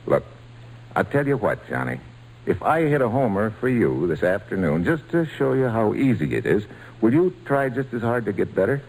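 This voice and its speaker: A man playing a big-hearted baseball slugger, gruff but kind, in old-time radio drama style with folksy, plain speech. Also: lowish voice, very animated, moderate pace, a little mellow, low-quality recording. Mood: kindly, encouraging.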